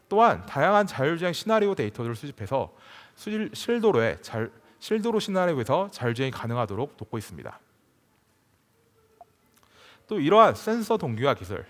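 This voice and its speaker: A man giving a formal, prepared technical presentation as a conference speaker in a large hall. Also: medium pitch. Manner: formal, scripted delivery. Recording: long hall reverb from PA.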